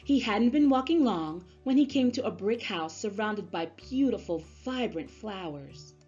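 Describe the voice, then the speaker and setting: Husky woman reading a storybook, very slowly. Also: mid-range voice, expressive, darkish tone. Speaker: storybook reader. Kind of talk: expressive children's read-aloud narration. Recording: slightly dull sound, music underneath. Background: music.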